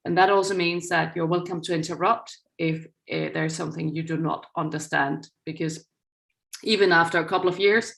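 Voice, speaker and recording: A woman's voice: low voice, steady tone, normal speed, vocal fry, fairly bright tone. A middle-aged woman with a Danish accent, a non-native English speaker giving an earnest inaugural lecture to journalism students over a video call, partly reading from a script. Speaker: guest lecturer.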